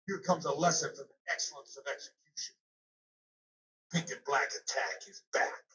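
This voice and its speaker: A man's voice: fairly high pitch, steady tone, normal speed, pushed and bright, distant mic. A man playing a cocky, aggressive pro wrestler, throwing out boastful, menacing one-line taunts for a video game. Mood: boastful, smug. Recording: muffled, echoey, noisy game audio rip.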